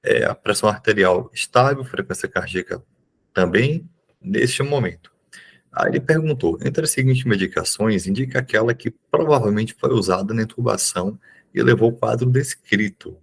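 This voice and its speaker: A young man with a Bahian Brazilian accent, explaining exam questions informally as a teacher, in a halting way with frequent checking tags. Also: on the low side, vocal fry, husky. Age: young adult. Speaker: neurology resident and exam prep teacher. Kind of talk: informal exam review lesson.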